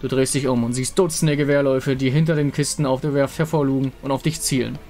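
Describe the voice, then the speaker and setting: A man's voice: medium pitch, steady tone, fast, a little mellow, faint music behind. A man reading scripted story narration as the narrator of a fantasy tale.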